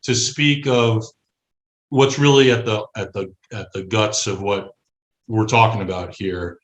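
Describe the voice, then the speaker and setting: A man's voice: on the low side, fairly even intonation, unhurried. Earnest American man in an echoey room. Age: middle-aged. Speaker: guest, songwriter and musician. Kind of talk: casual interview chat. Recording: roomy echo.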